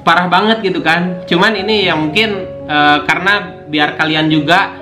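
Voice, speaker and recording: A man's voice: sits high, normal speed. Young man vlogging in an echoey room. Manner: slangy, keeps saying "cuy", many fillers. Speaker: motovlogger YouTuber. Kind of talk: casual unboxing chat to camera. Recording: echoey room with guitar music.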